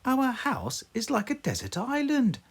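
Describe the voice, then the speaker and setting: Little girl pig for a storybook, played by the narrator: cheerful, chirpy, pitched high. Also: huge pitch swings, measured pace, breathy edge, exaggerated voice.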